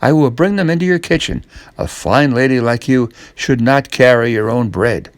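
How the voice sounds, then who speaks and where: A man voicing a brave, polite boy posing as a baker in a children's storybook, flattering in manner. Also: medium pitch, moderate pace, no room sound, close to an everyday voice. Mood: polite flattery.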